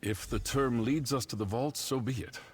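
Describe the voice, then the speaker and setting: An actor playing a weary, shaken councillor in a video game, speaking in a grave, measured, formal way with a husky voice. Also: male voice, medium pitch, a little hiss or hum, lightly acted. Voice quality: husky, rough voice. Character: weary, shaken male councillor betrayed by a colleague. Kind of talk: video game character dialogue.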